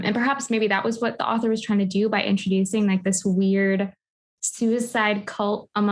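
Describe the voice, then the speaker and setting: A woman co-hosting a casual book club podcast, informal and questioning in her phrasing. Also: medium pitch.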